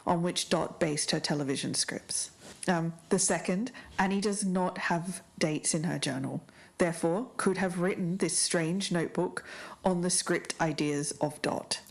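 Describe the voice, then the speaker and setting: An Australian woman playing an intense, deliberate podcast investigator in a scripted audio drama. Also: lowish voice, creaky. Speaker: podcast co-host character. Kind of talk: scripted audio fiction narration.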